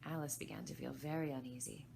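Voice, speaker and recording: A woman reads a children's story aloud in a low voice with a creaky edge. She narrates as a volunteer reader, with some noise behind her. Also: fast, darkish tone.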